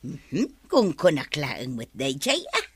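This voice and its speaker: A woman's voice: very deep voice, huge pitch swings, slow pace, breathy edge, dry recording, heavily acted. A woman with an Ilocano accent playing a mocking, doubtful wife who teases and scolds in a radio comedy drama.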